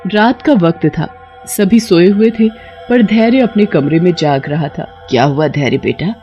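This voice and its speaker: Female story narrator, mid-pitched, scripted animated-tale delivery with music bed. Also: a little slow, lo-fi sound.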